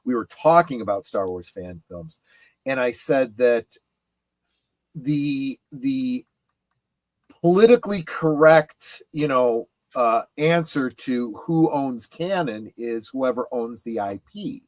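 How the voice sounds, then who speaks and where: A man giving opinions in a casual panel chat, emphatic and deliberate, halting between phrases. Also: medium pitch, lively intonation, no echo. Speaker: guest co-panelist on a fan show.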